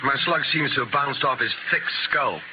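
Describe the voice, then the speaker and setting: A man's voice: mid-range voice, moderate pace, highs cut off, lightly acted. Man playing a taunting western gunman, noisy old radio. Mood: smug menace. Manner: taunting, commanding. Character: cocky, cautious armed ambusher, menacing western villain. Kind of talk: old-time radio drama acting.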